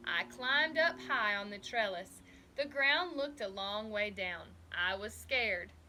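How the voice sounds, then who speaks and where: A woman reading a children's story aloud expressively, with deliberate, dramatic emphasis. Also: medium pitch, lively intonation, bright, forceful tone, a little hiss or hum. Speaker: storybook reader.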